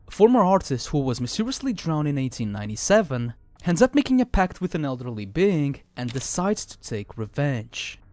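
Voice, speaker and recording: Male narrator, animated intonation, dry close sound, dramatic storytelling, scripted video essay. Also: a little high, no echo. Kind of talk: scripted narration of a horror series analysis.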